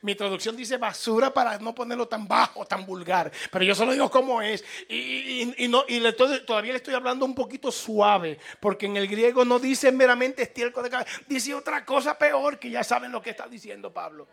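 High, gravelly voice of a fervent preacher. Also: male voice, brisk, hardly any pauses, fairly bright tone. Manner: fervent and emphatic, with repetition and rising exhortation. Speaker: radio preacher. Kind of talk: evangelical sermon.

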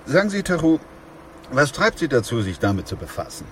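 A man playing a sober, weary, steadfast doctor in a German radio play, speaking in a grave, measured, reflective way. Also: medium pitch, sing-song, noisy background, natural voice. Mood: more pressing. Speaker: actor playing the narrator and lead.

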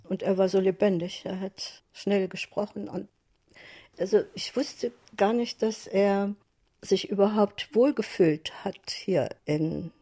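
A woman's voice: medium pitch, slow pace, warm tone. An older woman casually recalling memories as an interviewee.

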